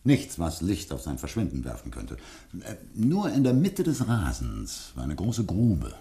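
A deep-voiced, dry man lays out the facts of a case in a radio drama. He is measured and mellow, with gravel in the voice and lively intonation. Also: full character voice. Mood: matter-of-fact. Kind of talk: radio play dialogue.

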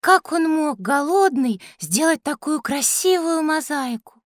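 A woman's voice: high-pitched, expressive, measured pace, dry recording, studio quality. A woman playing an eager, curious young girl in a scripted children's audio drama, full of wondering questions. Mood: concerned, moved.

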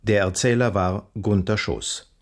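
Slow, low-voiced male radio announcer. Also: fairly even intonation. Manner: formal, measured. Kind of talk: scripted credits reading.

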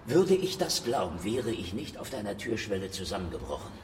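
An actor playing a battered, stubborn detective in a noir radio drama, terse and sardonic. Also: male voice, lowish voice, very animated, a little fast, a little mellow. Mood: accusing, insistent. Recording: echoey room with faint noise.

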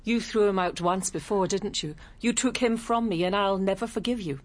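An actress playing a direct, protective, sharp-tongued English woman in a radio drama. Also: mid-range voice, moderate pace, lightly acted. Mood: bitter.

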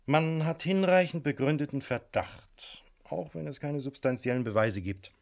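A man playing a courteous but firm, wily police inspector in a radio crime drama, speaking formally and deliberately with polite menace. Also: on the high side, vocal fry. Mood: formal, warning.

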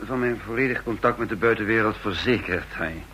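An actor playing a genial male spy posing as a gentleman in a vintage radio drama, relaxed and musing, with frequent hums. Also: lowish voice, brisk, a little hiss or hum.

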